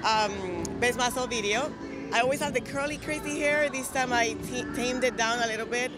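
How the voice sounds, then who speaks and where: A woman's voice: fairly high pitch, moderate pace. A young woman from Colombia, a native Spanish speaker with a Colombian accent, chatting casually and upbeat in an interview. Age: young adult. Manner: upbeat, energetic. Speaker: bikini competitor interviewee. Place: large exhibition hall, indoors. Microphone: handheld interview microphone. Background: music.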